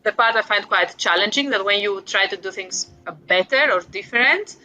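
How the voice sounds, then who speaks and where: A woman's voice: medium pitch, moderate pace, bright, forceful tone, lo-fi sound, faint music behind. A woman in her forties from Greece with a Greek accent, a guest author talking reflectively about parenting, with light self-deprecating humor. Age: 40s. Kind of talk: casual interview talk.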